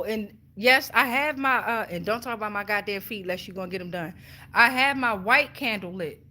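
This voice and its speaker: Female tarot host, higher-pitched forceful voice, blunt slangy delivery, emphatic repetition, salty language. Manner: blunt, heavy swearing, slangy, repeats phrases for emphasis. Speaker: tarot reader, show host. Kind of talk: casual spiritual tarot reading to followers.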